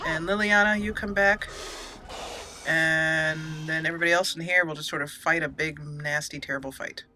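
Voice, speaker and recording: A woman's voice: deep voice, steady tone, moderate pace, bright, forceful tone, slight background noise. A woman hosting a let's play, giving casual, playful commentary and chatting to the game's characters. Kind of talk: casual live gameplay commentary.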